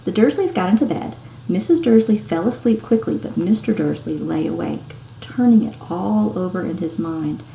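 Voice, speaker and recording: A woman teacher reading a story aloud to children in an expressive, animated way with dramatic emphasis. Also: fairly low pitch, moderate pace. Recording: echoey room with clear background noise.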